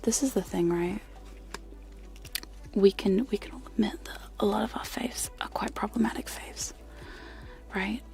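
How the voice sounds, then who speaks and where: A young woman talks almost entirely in a soft, breathy whisper right up against close microphones. Her pitch sits in the middle range for a woman. She chats casually and giggles, often poking fun at herself as she sets up gentle ASMR trigger sounds. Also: a little slow, pauses often. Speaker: ASMR streamer. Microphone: close sensitive microphones.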